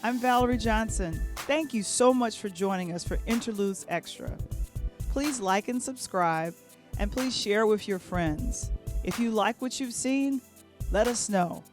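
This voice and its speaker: A woman's voice: mid-range voice, unhurried, soft background music. A woman hosting an interview show, putting questions to guests and addressing the audience. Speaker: interview host.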